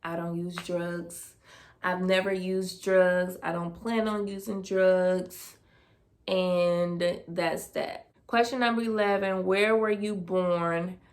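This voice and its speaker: A woman's voice: lowish voice, fairly even intonation, takes it slowly. A woman, casually answering personal questions on her vlog, thinking aloud with fillers. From Dayton, Ohio, US. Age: 20s. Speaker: vlogger. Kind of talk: casual Q&A tag video.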